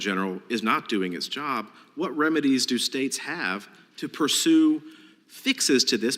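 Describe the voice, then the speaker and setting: A man's voice: mid-range voice, a bit bright. A man, a congressman questioning a witness at a hearing, speaking emphatically and with frustration, often repeating words as he goes. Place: large hearing room. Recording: long hall reverb.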